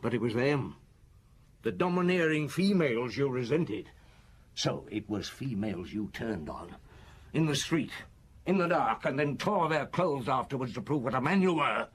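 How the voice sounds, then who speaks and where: An actor playing a shrewd middle-aged police chief in a radio drama, interrogating a suspect in an unhurried, cutting way with a husky voice. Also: male voice, mid-range voice, expressive. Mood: accusing.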